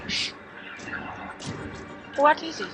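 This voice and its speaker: A woman playing a gentle, anxious wife and mother, in expressive 1950s radio drama style. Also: drawn-out words, noisy background. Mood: uneasy. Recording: vintage radio broadcast, music behind.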